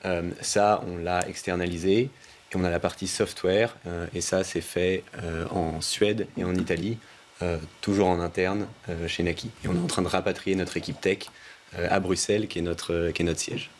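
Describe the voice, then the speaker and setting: A man's voice: sits low, normal speed, slight background noise. A man with a French accent, a start-up founder explaining his service with many hesitations. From France. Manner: relaxed, explanatory, many fillers.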